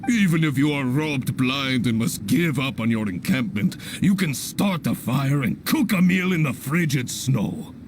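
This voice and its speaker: An actor playing a hearty, pompous, long-winded leader of adventurers in an anime-style video game, speaking in grand theatrical speeches. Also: male voice, medium pitch, expressive, measured pace, few pauses, background noise, close to an everyday voice. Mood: grandly inspired.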